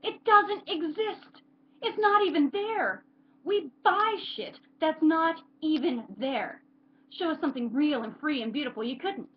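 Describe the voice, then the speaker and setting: A woman's voice: high voice, animated, measured pace, highs cut off, voice pushed up. Woman acting a bitter, impassioned, accusing contestant. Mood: anguished. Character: bitter, desperate contestant denouncing a watching audience. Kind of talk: dramatic screen monologue.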